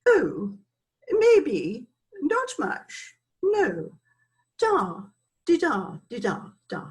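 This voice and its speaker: A scatty, frivolous older British woman tells her life story as a stage monologue. Her voice sits fairly high and swings dramatically up and down, unhurried as she wanders off the point and excuses herself. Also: wildly swooping pitch, full character voice. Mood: rambling, amused. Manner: rambling, frivolous, digressive, self-excusing. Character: chatty, scatty older Englishwoman named Isabella, reminiscing.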